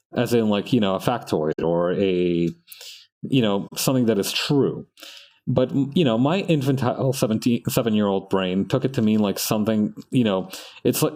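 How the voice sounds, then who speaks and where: Chatty young man, low voice, lively swings. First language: Spanish. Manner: chatty, meandering, laughs often, trails off mid-sentence. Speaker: artist streaming practice. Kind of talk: casual rambling commentary while drawing.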